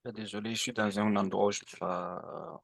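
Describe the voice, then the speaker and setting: A man gives an informal, halting answer as a participant in an online training. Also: lowish voice, fairly even intonation, vocal fry, no echo, studio quality. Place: on a video call, camera off.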